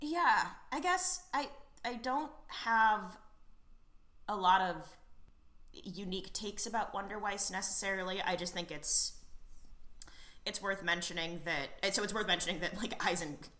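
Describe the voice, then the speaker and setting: A woman co-hosting a casual anime podcast, talking in animated bursts, laughing and trailing off into asides. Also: mid-range voice, measured pace. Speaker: podcast co-host.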